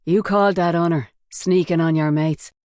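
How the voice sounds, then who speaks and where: A woman's voice: lowish voice, steady tone, normal speed, a little mellow, no room sound, clean studio sound, natural voice. A woman voicing a cocky, menacing male gang leader who mocks his captive. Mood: mocking. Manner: mocking, sneering.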